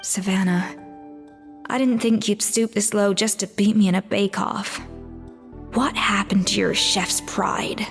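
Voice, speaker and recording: Principled female head chef voiced by a woman, firm and measured, with reverb and soft game music. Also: medium pitch, fairly even intonation, natural voice. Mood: stern.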